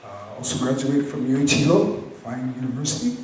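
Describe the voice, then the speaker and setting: A middle-aged man from Hawaii gives an earnest, halting campaign speech with dramatic pauses. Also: mid-range voice, sing-song. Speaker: county council candidate. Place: high school hall public forum. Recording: echoey hall, distant mic, faint noise.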